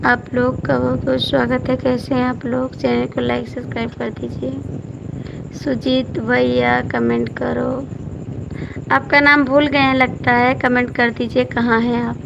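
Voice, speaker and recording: Hoarse, gravelly woman chatting on a live stream. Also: a little high, level tone, a little slow, muffled recording, noisy background. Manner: chatty, repeatedly coaxing viewers by name to comment. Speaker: folk singer hosting a live stream. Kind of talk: casual live-stream chat reading out viewer comments.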